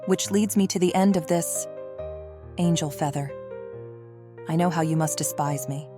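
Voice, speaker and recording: A woman playing a remorseful, loving mother and secret agent, speaking a measured confession in an audio drama. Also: deep voice, over music, lightly acted. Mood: tender remorse.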